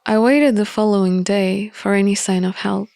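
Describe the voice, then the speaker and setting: A woman narrating a visual novel in an expressive, measured storybook style. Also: mid-range voice, gravelly, no echo, clean studio sound. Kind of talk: expressive storybook-like reading of game text. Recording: music behind the voice. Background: music.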